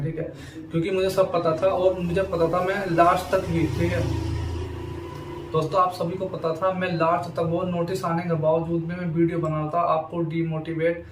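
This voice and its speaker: Young male education vlogger, higher-pitched and monotone, insistent, repetitive, informal address to students. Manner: repetitive, insistent, frequent verbal tags. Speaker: education YouTuber. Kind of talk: informal talk to camera for students. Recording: roomy echo, distant mic, faint noise.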